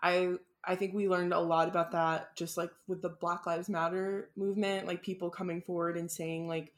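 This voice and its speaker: A woman's voice: on the low side, flat delivery, moderate pace. Gushing woman guest, lots of "like". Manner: gushing, filler-heavy, lots of "like". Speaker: podcast guest. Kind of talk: casual podcast chat.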